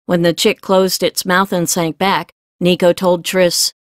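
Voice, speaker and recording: A woman reading scripted fiction as an audiobook narrator, with measured storytelling delivery. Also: fairly low pitch, level tone, no echo, studio quality.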